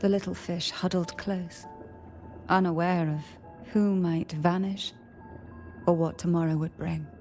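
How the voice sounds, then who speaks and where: A mysterious female game narrator, speaks with measured, enigmatic poise. Her tone is soft and mellow, the delivery very slow, with faint music behind her. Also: fairly low pitch, steady tone. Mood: hushed storytelling.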